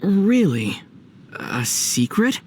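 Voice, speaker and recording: Youthful, wry traveler hero. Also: male voice, high voice, sing-song, drawn-out words, noisy background, exaggerated voice. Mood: curious, wry. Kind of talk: video game character dialogue.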